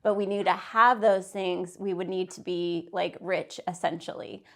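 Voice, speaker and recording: A woman in her thirties speaks as an interview guest in a slightly low, easy voice. She is chatty and informal, with filler words and light laughter. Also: steady tone, normal speed, fairly bright tone. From Santa Fe, New Mexico, US. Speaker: interview guest, business owner. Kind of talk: casual interview.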